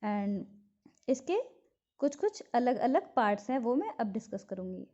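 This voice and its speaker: Female teacher, Indian accent, slow and soft-toned, explanatory study-notes delivery, muffled low-fidelity sound. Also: very animated. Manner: explanatory, repeats key points for memorizing. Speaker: physiotherapy teacher. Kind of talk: instructional lecture from study notes. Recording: dull lo-fi recording.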